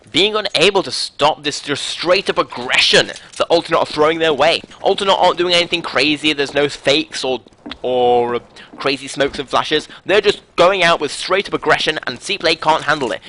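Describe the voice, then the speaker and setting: Bright-voiced British esports caster. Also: male voice, fairly high pitch. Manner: upbeat, rambling, jokes between rounds. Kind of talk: live game commentary.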